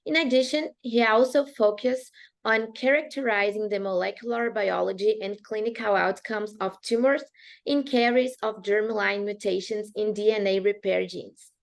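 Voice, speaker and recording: A woman's voice: medium pitch, moderate pace, creaky. A woman co-host reading out a guest's introduction, with occasional stumbles. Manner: reads a long list with occasional stumbles.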